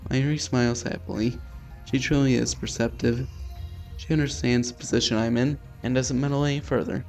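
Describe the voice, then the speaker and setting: A man's voice: mid-range voice, steady tone, brisk, gravelly, a little mellow, faint music behind. A man hosting a gaming video, reading a visual novel aloud casually and stumbling now and then with sweary, self-deprecating asides.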